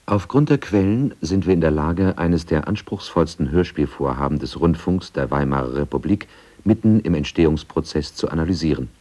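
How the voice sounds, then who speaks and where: A man narrates a radio documentary from a script in a low register. His tone is dark and soft-edged, suited to presenting archival material. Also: expressive, normal speed.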